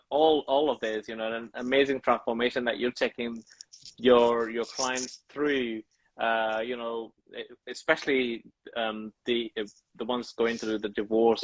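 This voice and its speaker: Halting, effusive podcast host man. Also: medium pitch, no room sound, rough recording. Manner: halting, many restarts and fillers; effusive, keeps thanking the guest. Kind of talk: casual interview chat.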